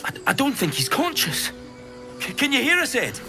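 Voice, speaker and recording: An actor playing an anxious, fervent young cult follower in a fantasy video game, agitated and halting. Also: male voice, very high-pitched, huge pitch swings, exaggerated voice. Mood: distraught. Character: anxious, fervent male cult recruit, loyal brother. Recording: music behind the voice.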